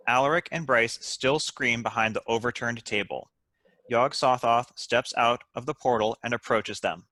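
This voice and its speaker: A man's voice: medium pitch, sharp tone, no room sound. A man reading stage directions aloud as scripted narration for a comedy script.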